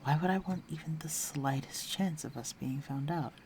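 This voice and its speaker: A man's voice: fairly high pitch, normal speed, darkish tone, a little hiss or hum, lightly acted. A man playing a flirtatious, teasing villain with a secretly tender side, speaking intimately to the listener in an audio roleplay. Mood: flirtatious, wry. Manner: teasing, coaxing, breathy murmurs.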